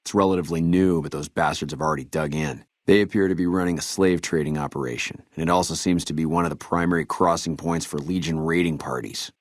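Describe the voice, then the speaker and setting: An actor playing a weary, dutiful army trooper in a video game, speaking in a measured, earnest soldierly way. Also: male voice, extremely low pitch, steady tone, no room sound, studio quality. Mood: grave, businesslike. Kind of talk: video game dialogue acting.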